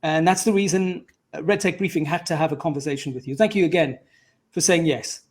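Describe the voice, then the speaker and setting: Male interview host, slightly high voice, conversational and effusive delivery. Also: a little fast.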